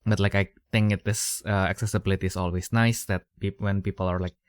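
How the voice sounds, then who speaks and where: Low-voiced man chatting casually. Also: steady tone, quick pace, smooth, dry recording, studio quality. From Indonesia. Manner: rambling, many fillers and restarts. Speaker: podcast host. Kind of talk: casual chat.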